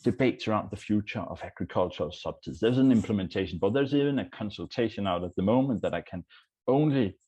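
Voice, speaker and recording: Male panelist from Denmark, low husky voice, earnest delivery. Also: darkish tone, low-quality recording. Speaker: panelist, food policy professor. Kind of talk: panel talk.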